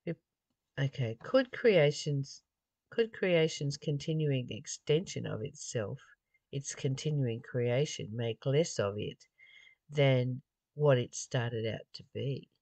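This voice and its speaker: A mid-pitched voice reads and comments on spiritual study material. The delivery is unhurried and somewhat halting, with pauses inside phrases. The sound is dry, with very little room around it. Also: animated, darkish tone, dry recording. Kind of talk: reading aloud with informal reflective commentary.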